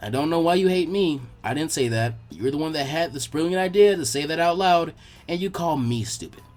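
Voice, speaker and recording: A man's voice: a little high, lively intonation, a little fast, darkish tone, lightly acted. A man voicing a brash, eager young ninja boy who talks bluntly and informally in a story reading. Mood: blunt, teasing.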